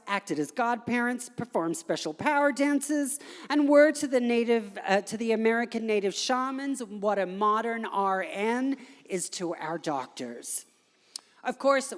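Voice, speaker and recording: A woman's voice: mid-range voice, darkish tone. A woman giving a theatrical live reading of a humorous article as a guest performer at an event. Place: indoor gallery event space, before an audience. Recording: echoey hall recording.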